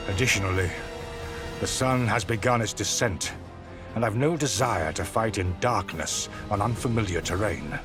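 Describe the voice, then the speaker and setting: A man acting a haughty, composed enemy army commander in a video game cutscene, speaking formally and with measured authority. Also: mid-range voice, close to an everyday voice.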